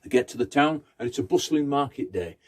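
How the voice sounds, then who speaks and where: A man's voice: mid-range voice, expressive, brisk, a little mellow. A man telling a folktale conversationally, in short phrases with rhythmic repetition. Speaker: Romani storyteller. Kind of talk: oral folktale storytelling.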